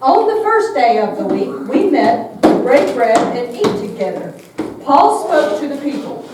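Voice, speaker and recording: Woman doing a lively, high-voiced girl puppet. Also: measured pace. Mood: reading aloud. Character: keen young girl puppet who knows Bible stories. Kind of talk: church puppet show for children. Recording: echoey, noisy room, distant mic.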